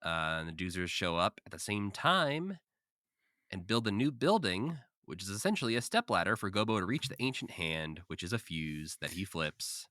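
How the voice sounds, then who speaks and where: A man's voice: deep voice, very animated, moderate pace, bright, forceful tone, no echo, studio quality. A man co-hosting a podcast, casually recapping and commenting with a wry, joking manner. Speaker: podcast co-host.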